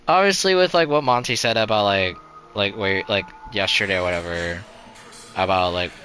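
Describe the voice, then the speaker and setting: A man's voice: fairly low pitch, moderate pace, bright, forceful tone, faint music behind. A young man streaming a game live, chatting casually in a rambling, resigned way, full of "like" and "you know" and restarted sentences.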